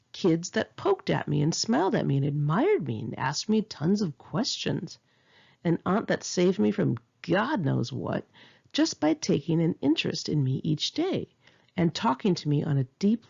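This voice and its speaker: Female memoir narrator, confessional and emphatic, lively intonation with a creaky edge, modest lo-fi sound. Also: fairly low pitch. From United States. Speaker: author reading own memoir. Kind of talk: memoir audiobook reading.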